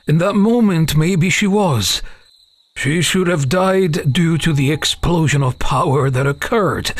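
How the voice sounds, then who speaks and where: A man's voice: medium pitch, vocal fry, slightly rough, no echo, exaggerated voice. A man playing an uneasy half-elven father who tells a dramatic tale to his young daughter in a fantasy audio drama. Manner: hesitant openings, dramatic storytelling.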